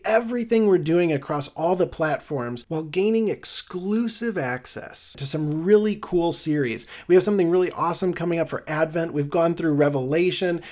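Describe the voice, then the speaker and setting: A man with a Midwestern American accent hosting a faith-based podcast in an enthusiastic, effusive way. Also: high-pitched. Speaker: podcast host. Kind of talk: podcast hosting and sponsor appeal.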